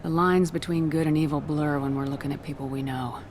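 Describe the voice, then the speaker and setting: Actress doing a really low voiced woman commander in a sci-fi game, composed n direct, noisy background. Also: steady tone, brisk, a little mellow, lightly acted. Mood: reassuring. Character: steady, supportive female military commander. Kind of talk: video game dialogue.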